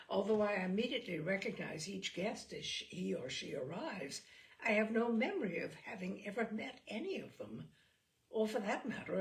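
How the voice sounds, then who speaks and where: A woman hosting a reading series, speaking in an expressive, deliberate, theatrical storytelling style. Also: medium pitch, gravelly. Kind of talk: expressive literary reading with chatty introduction.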